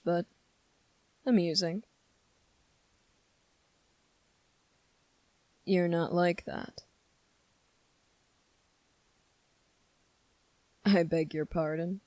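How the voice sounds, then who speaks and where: A woman voicing a sardonic, condescending demon in an ASMR roleplay, speaking deliberately with drawn-out pauses. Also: fairly low pitch, darkish tone, no echo. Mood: scornful, dry. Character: sardonic, condescending demon summoned to make a deal. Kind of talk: ASMR roleplay, dramatic monologue to listener.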